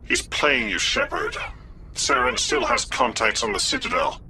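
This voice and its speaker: Alien councilor, piercing forceful voice, gravelly, scornful, video game drama, faint ambience. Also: male voice, mid-range voice, wildly swooping pitch, moderate pace, slight background noise, full character voice. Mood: dismissive. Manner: dismissive, accusing.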